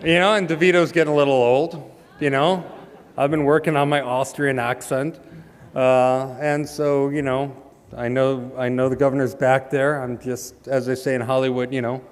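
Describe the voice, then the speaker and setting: A man's voice: medium pitch, flat delivery, moderate pace, clean tone. Man giving a joking speech in a reverberant hall. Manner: dry joking delivery with hesitant fillers. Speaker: ambassador introducing a speaker. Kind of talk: humorous speech introduction. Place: large hall.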